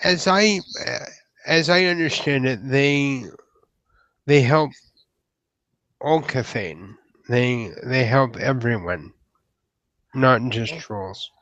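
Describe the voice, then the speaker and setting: Man playing a slow, hesitant, earnest troll newcomer. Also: medium pitch, a bit bright, no echo, low-quality recording. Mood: earnest, careful. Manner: hesitant, halting, formal wording. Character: earnest, polite young troll newcomer, idealistic and deferential. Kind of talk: improvised in-character roleplay dialogue.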